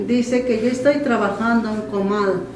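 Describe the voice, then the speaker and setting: A woman potter whose first language is Zapotec, speaking proudly and warmly about her craft and culture in an interview. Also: on the high side, fairly even intonation. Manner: proud, welcoming, measured. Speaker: artisan potter. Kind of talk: interview answers. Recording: echoey, from a distance, music.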